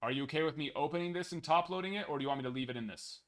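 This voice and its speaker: A man's voice: a little high, racing. Young male card-break host, easygoing, rattles off numbers, voice getting worn. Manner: casual, reads numbers in a steady list. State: losing his voice. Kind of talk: live card break chat.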